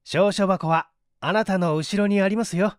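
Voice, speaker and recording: A man's voice: sits high, fairly even intonation, moderate pace, clean tone, dry recording, studio quality, voice pushed up, lightly acted. A man voicing an authoritative, composed detective doctor who speaks firmly and didactically in a story reading. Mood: decisive. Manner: firm, emphatic, didactic.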